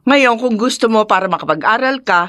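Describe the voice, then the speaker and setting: A woman's voice: a little high, expressive, a little fast, sharp tone, no echo, clean studio sound. An actress playing a cold, impatient mother in a Filipino radio drama, speaking in a curt, scolding way. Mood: bossy, impatient. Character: harsh, domineering mother, cold and impatient with her daughter.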